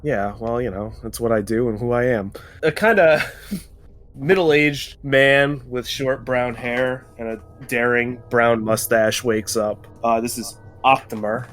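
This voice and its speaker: A man's voice: mid-range voice, steady tone, measured pace, a bit bright, soft background music. A man playing a tabletop game, talking casually and irreverently as he describes his character. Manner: irreverent, sweary.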